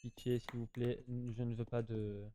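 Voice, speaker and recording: A man's voice: medium pitch, little pitch movement, measured pace, music in the background. A man giving casual, slangy gameplay commentary, chatting to his viewers and often repeating himself. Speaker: gaming YouTuber.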